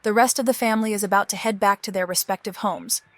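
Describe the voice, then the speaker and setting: An actress playing a steadfast, supportive younger sister in mourning, sincere and earnest, in a scripted animated drama. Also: female voice, mid-range voice, fast, lightly acted. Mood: solemn, resolute.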